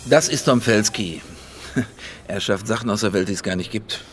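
A man's voice: on the low side, moderate pace, soft background music, natural voice. A man playing a slick, chummy businessman with a persuasive way of talking in a radio crime drama. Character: slick male wheeler-dealer businessman.

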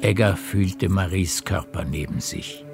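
An actor narrating a literary radio play as a calm storyteller, in a measured, precise way with a gravelly voice. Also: male voice, sits low, over loud music, heavily acted.

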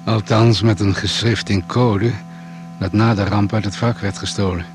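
An actor playing a matter-of-fact doctor who survived a crash, measured and no-nonsense, in a Dutch radio play. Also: male voice, fairly low pitch, steady tone, over music, lightly acted. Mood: grave, factual.

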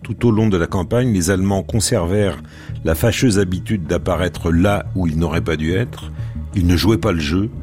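Deep-voiced male radio host. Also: a little mellow, soft background music. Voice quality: deep, measured, with hesitations. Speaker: radio history show host. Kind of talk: radio interview and reading.

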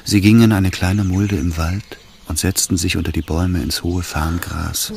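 An actor playing a calm literary narrator in a radio drama, reading in a measured, hushed, descriptive way. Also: male voice, deep voice, gravelly, a little hiss or hum. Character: calm literary narrator of a sensual love story.

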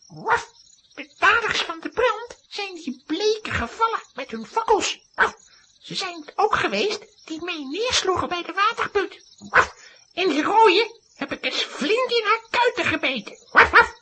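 A performer voicing an excitable, indignant talking dog that woofs between sentences in a children's radio play. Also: very high voice, measured pace, a little hiss or hum, full character voice, rough recording. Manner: punctuates speech with woofs.

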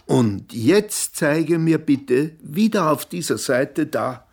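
Radio drama role: coaxing, schoolteacherly carer, measured slow pace, very lively pitch, husky tone. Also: female voice, full character voice. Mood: patient, coaxing. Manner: deliberate, schoolteacher-like. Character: patient, coaxing carer teaching a confused relative from pictures.

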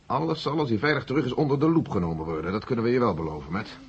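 Actor as brusque general, dull old recording. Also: male voice, mid-range voice, rapid-fire, close to an everyday voice. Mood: conceding, firm. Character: brusque male American general in charge of space mission. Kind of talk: 1970s Dutch science fiction radio drama acting. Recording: dull, noisy vintage radio play recording.

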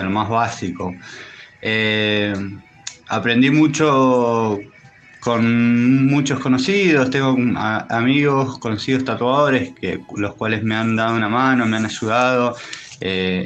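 A man with an Argentine Spanish accent answering interview questions in a thoughtful, hesitant way with many pauses. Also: medium pitch, fairly bright tone, highs cut off. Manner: hesitant, thoughtful, pausing often. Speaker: interview guest, tattoo artist.

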